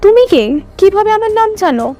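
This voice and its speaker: অভিনেত্রীর কণ্ঠে খুব উঁচু স্বরের উদ্বিগ্ন তরুণী. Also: female voice, very high voice, very animated, a little fast, warm tone, dull audio, soft background music. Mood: puzzled, uneasy. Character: anxious, confused young woman haunted by forgotten past. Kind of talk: audio story drama acting.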